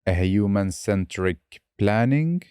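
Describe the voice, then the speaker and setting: A man's voice: on the low side, expressive, slow pace, warm tone, clear, resonant tone, no echo, clean studio sound. A man hosting a podcast interview, encouraging his guest with frequent short acknowledgements. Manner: encouraging, many short "mm" and "yeah" backchannels. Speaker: podcast host.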